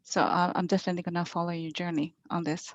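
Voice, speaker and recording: A woman's voice: fairly low pitch, level tone, fast, dry recording. A woman from Latin America on an investor panel, chatting through her comments with lots of fillers over a video call. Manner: chatty, thinking aloud with many fillers. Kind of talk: online panel question and comment. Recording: dull lo-fi video call audio.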